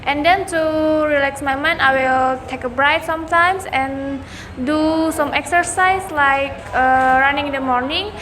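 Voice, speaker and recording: A young woman in her late teens, a nursing student guest, answering in a composed, steady way. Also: high voice, unhurried, slight background noise, low-quality recording. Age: young adult.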